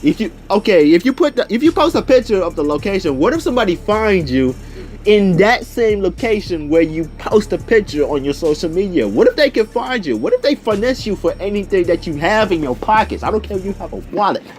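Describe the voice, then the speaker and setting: A young man with a fairly high, very lively voice tells a casual story over music. His delivery is slangy and animated, swinging up and down as he re-enacts who said what. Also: fairly quick. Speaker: video storyteller.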